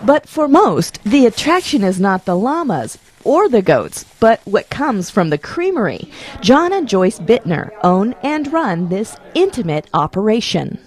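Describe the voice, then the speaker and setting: An American woman voices a scripted television feature as its reporter. Her pitch is average for a woman and moves up and down in a lively way. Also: measured pace. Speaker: reporter narrator.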